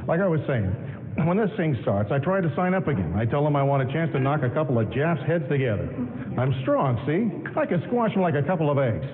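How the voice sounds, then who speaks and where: An actor playing a pushy, boastful middle-aged war veteran working a lunch counter in a 1940s-style radio drama, garrulous and insistent. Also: male voice, fairly high pitch, big pitch swings, fairly quick. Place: stage of a large hall. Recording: muffled live radio broadcast in echoey hall.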